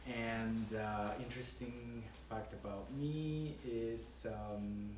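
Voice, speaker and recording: A man giving an informal, halting self-introduction to a group. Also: medium pitch, steady tone, a little mellow. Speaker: filmmaker introducing himself. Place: indoor group meeting room. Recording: echoey room, distant mic, background noise.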